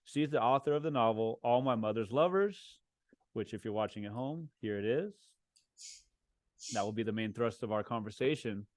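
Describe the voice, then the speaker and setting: A man in his own voice as a podcast host, speaking at an everyday middle pitch. He asks questions in a loose, chatty way, circling back and restarting his sentences. The sound is close and dry, with no room around it. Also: moderate pace, no room sound. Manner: relaxed, chatty, many fillers and restarts. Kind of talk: casual interview.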